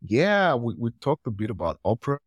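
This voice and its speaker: A man's voice: medium pitch, very animated, normal speed, no room sound. A man from Nigeria with a Nigerian accent, talking casually as co-host of a podcast. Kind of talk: casual conversation.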